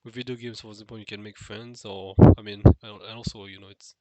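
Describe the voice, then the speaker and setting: A man's voice: on the low side, little pitch movement, fast talker, no room sound, rough recording. A man with a nasal, stuffed-nose voice musing alone to the camera in an unscripted vlog, hesitant, with many fillers and restarts. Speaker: vlogger. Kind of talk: unscripted solo monologue.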